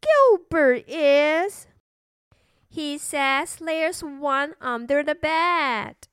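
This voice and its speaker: Woman voicing a very high, whiny little princess. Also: animated, fairly bright tone, no echo, studio quality, exaggerated voice. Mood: protesting. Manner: whiny, drawn-out protesting. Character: stubborn, whiny little princess, a young girl.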